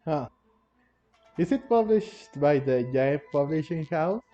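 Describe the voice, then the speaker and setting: A man's voice: fairly high pitch, lively intonation, slow, dark tone, dull audio, soft background music. A man giving casual, bemused, chuckly reactions as he plays through a video game. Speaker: gamer commentating on a playthrough. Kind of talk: casual let's-play reaction.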